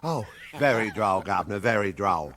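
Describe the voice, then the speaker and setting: A man's voice: lowish voice, animated, normal speed, fairly bright tone, a little hiss or hum. A man playing a courteous, bookish prisoner in a comic radio play, eager and polite. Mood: eager, amused. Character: courteous, bookish male convict.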